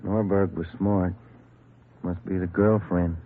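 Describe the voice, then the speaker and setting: A man's voice: sits low, flat delivery, takes it slowly, creaky, narrow, tinny audio, slight background noise, exaggerated voice. A man playing a detective's plainspoken partner in 1950s radio acting, terse and informal. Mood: reflective. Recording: band-limited old radio broadcast, music.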